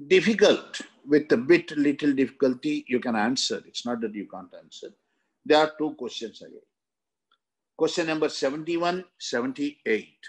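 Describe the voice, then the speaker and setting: An older man with an Indian accent and a husky voice of medium pitch. He teaches like an exam coach, going through questions in short halting phrases and often repeating himself. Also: low-quality recording. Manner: halting, repetitive, with frequent "okay" tags. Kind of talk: lecture explaining exam questions. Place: indoor room, seated.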